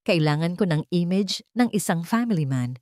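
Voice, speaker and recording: A woman acts out a rich young businessman in a romantic audio drama. He is commanding and persuasive, pressing his point with lively swings of pitch in a dry, close recording. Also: moderate pace, dry recording, natural voice. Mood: persuasive, businesslike. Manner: firm, pragmatic, urgent.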